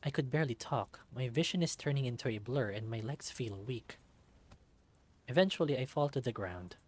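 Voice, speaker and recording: A man's voice: medium pitch, animated, moderate pace. A man reading a visual novel aloud in dramatic first-person narration.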